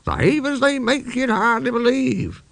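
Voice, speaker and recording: A man's voice: very high voice, huge pitch swings, normal speed, vocal fry, a bit bright, no echo, voice pushed up, exaggerated voice. A man voicing a kind, friendly male Scottish steam engine giving advice in a children's audiobook. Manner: friendly, advising.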